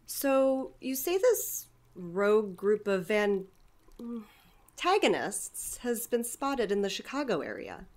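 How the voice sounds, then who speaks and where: A woman's voice: mid-range voice, big pitch swings, takes it slowly. A woman playing an evasive, chatty community center manager in a naturalistic audio drama, hesitant yet earnestly explanatory. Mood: polite, curious.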